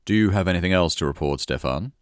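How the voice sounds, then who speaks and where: Man playing a deep-voiced, composed commanding knight. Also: lively intonation, quick pace, clean tone, no room sound, clean studio sound. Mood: polite, impatient. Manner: polite, controlled, authoritative. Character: composed, polite, commanding male knight with quiet impatience. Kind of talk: acted story dialogue.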